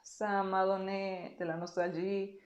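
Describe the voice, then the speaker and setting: Mexican-accented woman, non-native, slow and careful interview answers, roomy sound. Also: mid-range voice, flat delivery, darkish tone. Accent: Mexican Spanish. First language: Spanish. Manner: slow, careful speech with pauses.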